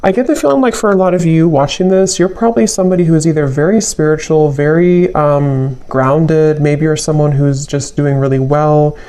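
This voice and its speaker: A tarot reader talking casually and intuitively to viewers, thinking aloud in a halting way and repeating phrases. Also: mid-range voice, warm tone.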